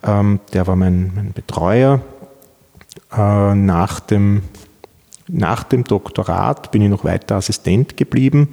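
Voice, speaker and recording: A man's voice: lowish voice, takes it slowly, creaky, dark tone, in a large hall. A middle-aged man from Vienna with an Austrian accent, a law professor answering interview questions with many fillers and restarts. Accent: Viennese Austrian German.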